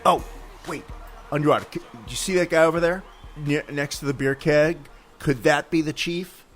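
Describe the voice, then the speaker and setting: A man's voice: a little high, moderate pace, a little hiss or hum, lightly acted. An actor playing a pushy, patronizing male producer in a comedy sketch. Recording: faint music behind.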